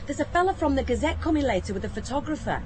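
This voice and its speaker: A woman's voice: high voice, lively intonation, racing, darkish tone, slight background noise. An actress playing a chirpy, determined woman with a Northern English accent, coaxing and persistent, in a naturalistic radio drama. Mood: chirpy, nagging.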